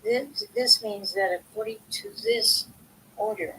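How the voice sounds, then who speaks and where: A woman reading a book aloud informally, in a halting way, sometimes stumbling over words and correcting herself. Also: medium pitch, fairly bright tone, slight background noise, poor audio. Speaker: reader of a book aloud, video host. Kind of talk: informal read-aloud of a self-help philosophy book.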